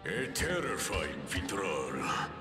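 A grave old sentinel in a fantasy game's stone temple, deep voice echoing as he recounts a tale. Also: very animated, over music, exaggerated voice. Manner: grave, deliberate. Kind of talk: video game cutscene narration.

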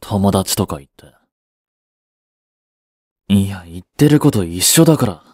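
Sulky young boyfriend played by a male actor: curt, skeptical, widely varied pitch, dry close sound, romance audio drama. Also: lively intonation, a little slow, darkish tone, no room sound. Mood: irritated, weary. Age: young adult. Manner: blunt, muttering, sighing.

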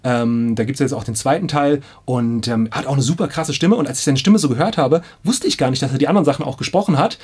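A man's voice: mid-range voice, fast talker, few pauses, darkish tone, breathy edge. A man in his thirties talking casually and enthusiastically to camera as a producer in a small studio. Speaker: audio drama producer and casting director. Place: small home studio indoors.

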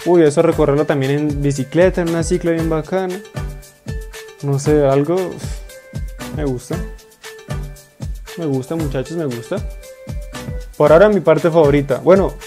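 A young man, chatting excitedly in slang as he reacts to a video in a small room, speaking into earphones. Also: on the high side, moderate pace, long pauses, faint music behind. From Colombia. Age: 20s. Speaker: YouTube reactor. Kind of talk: casual reaction commentary. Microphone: wired earphone mic.

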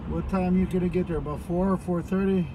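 A man's voice: high voice, steady tone, fast. A middle-aged man from Greece chatting bluntly on the phone and with a driver inside a moving car. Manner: blunt, repeats "all right" a lot. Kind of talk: casual chat and phone calls. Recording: loud road and engine noise.

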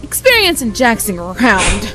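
High-voiced, earnest cartoon rag doll played by a woman. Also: huge pitch swings, normal speed, rough recording. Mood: defiant. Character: earnest, caring female cartoon rag doll, easily exasperated. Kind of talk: comic dub voice acting. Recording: music behind the voice.

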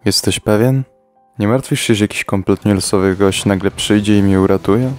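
Guy doing a fed up teen fighter, deadpan, lowish smooth voice, soft music behind. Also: a little fast, no echo, lightly acted. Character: weary teenage boy fighter, fed up and sarcastic. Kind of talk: fan dub comedy parody.

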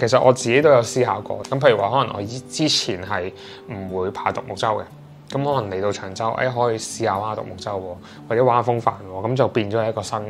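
A Hong Kong Cantonese man in his thirties, interviewed in his flat by the sea. His voice sits in a middle pitch, and he hedges and restarts as he thinks aloud. Light music plays underneath. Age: 30s. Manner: hesitant, many fillers and restarts. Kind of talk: casual interview chat. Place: indoors in a sea-view flat.

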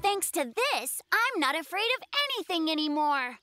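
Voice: high-pitched, animated, normal speed. An actor playing a young polar bear cub, cocky and anxious underneath, with dramatic bragging cartoon delivery. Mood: smug. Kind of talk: children's cartoon voice acting. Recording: loud music behind the voice.

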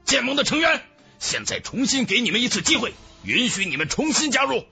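A commanding young martial-arts clan leader for an audiobook drama. He is scornful and menacing, with a very rough, sharp-edged voice that swings widely, over music. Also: extremely high pitch, big pitch swings, a little fast, exaggerated voice.

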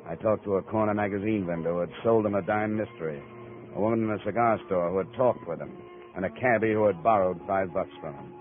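Hardboiled male investigator played by an actor, American, low, clipped delivery, tinny vintage radio sound. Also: fast talker, soft background music, natural voice. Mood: cool narration. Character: hardboiled male insurance investigator, cool and probing. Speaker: lead radio actor, narrator. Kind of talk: 1950s radio detective acting, first-person narration. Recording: muffled old radio recording, tinny.

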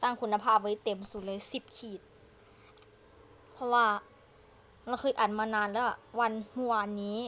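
A woman's voice: fairly high pitch, level tone, normal speed, long pauses, a bit bright. A woman giving casual commentary as she hosts a game playthrough. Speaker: gaming video host. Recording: clearly noisy home recording.

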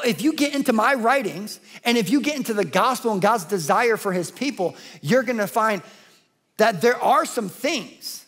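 A male pastor in his forties preaches from a large church stage, his voice sitting notably high for a man. He talks emphatically and builds his points through repetition. He is picked up close on a headset mic. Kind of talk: sermon.

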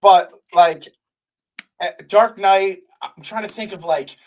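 A young adult man hosting a podcast, talking in animated, playful, swearing banter at a studio mic. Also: high voice, slow. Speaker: podcast host. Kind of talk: casual chat. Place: studio room with poster wall. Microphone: large studio mic with headphones.

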